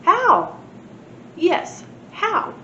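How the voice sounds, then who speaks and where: A woman's voice: extremely high pitch, wildly swooping pitch, extremely slow, bright, forceful tone, voice pushed up, exaggerated voice. A sceptical young child from a storybook, as read by a woman, doubting and demanding. The sound is roomy and a little muffled, with faint noise. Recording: echoey room, muffled, noisy.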